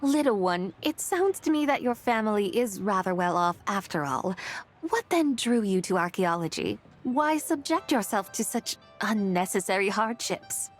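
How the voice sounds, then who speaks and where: A woman's voice: medium pitch, lively intonation, normal speed, faint music behind, close to an everyday voice. A woman voicing a lofty ancient female immortal in a video game, speaking in grand, archaic, theatrical phrases as one amused by mortals. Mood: curious. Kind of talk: video game character acting.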